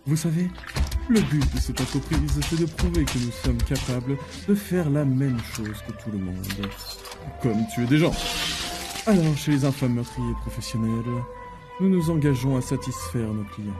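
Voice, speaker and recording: Man playing animated, mocking cartoon demon boss. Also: mid-range voice, normal speed, music in the background, lightly acted. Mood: grandiose. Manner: mocking, theatrical, foul-mouthed. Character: crude, flamboyant demon boss of a killing company.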